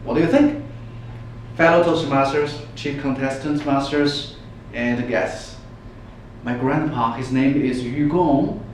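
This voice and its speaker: A man's voice: mid-range voice, steady tone, takes it slowly. Echoey, distant man giving a theatrical speech. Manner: theatrical, emphatic, rhetorical questions to listeners. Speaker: club speech contestant. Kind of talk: storytelling contest speech, dramatic public speaking. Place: large echoey room, speaking to an audience. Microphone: distant microphone. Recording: echoey room from far off, faint noise.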